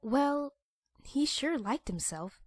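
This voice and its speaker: A woman's voice: high-pitched, lively intonation, takes it slowly, a little mellow, no echo, studio quality. A woman voicing an earnest, bookish young pony princess in a cartoon-style comic dub. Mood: earnest, concerned.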